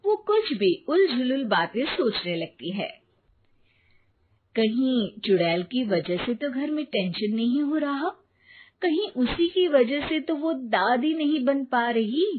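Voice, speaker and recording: A woman's voice: high-pitched, animated, slow pace. A woman narrating a scripted story as a storyteller, on a tinny recording. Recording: tinny phone-like sound with faint noise.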